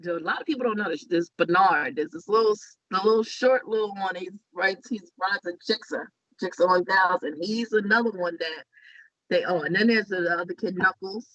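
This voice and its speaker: A man's voice: sits high, fairly quick, fairly bright tone, dry recording. A man from Staten Island, chatting loosely as a podcast guest and restarting his sentences often. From Staten Island, New York. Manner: loose, rambling, many restarts. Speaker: guest rider. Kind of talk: casual podcast conversation. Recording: dull lo-fi sound.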